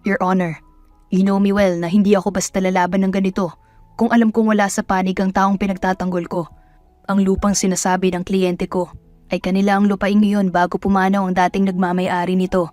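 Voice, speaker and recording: A woman's voice: medium pitch, level tone, a little fast, a little mellow, no echo, soft background music, close to an everyday voice. A woman voicing a firm, controlled male lawyer in a dramatic audio story, cool and protective. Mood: cold, firm.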